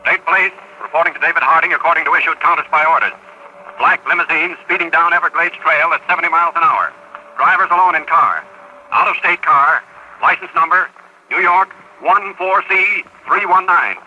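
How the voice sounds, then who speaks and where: A clipped, official radio-play dispatcher. His voice is piercingly bright and forceful, delivered telegram style on a dull, lo-fi old recording. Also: fairly high pitch, a little fast, slight background noise. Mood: urgent. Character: brisk, clipped male government agent relaying dispatches. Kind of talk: 1940s radio drama acting.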